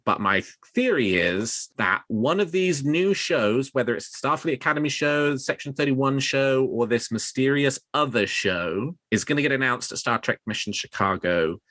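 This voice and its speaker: A man's voice: medium pitch, lively intonation, normal speed, few pauses, a bit bright, no room sound. A man hosting a fan news podcast, talking at length in wordy, discursive sentences. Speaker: podcast host.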